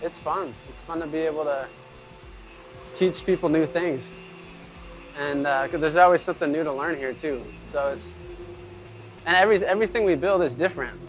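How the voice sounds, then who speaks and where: A man's voice: a little high, normal speed, pauses often, faint music behind, low-quality recording. Earnest man in a woodshop. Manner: earnest, upbeat. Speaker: small business owner. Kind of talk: casual interview. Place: furniture workshop.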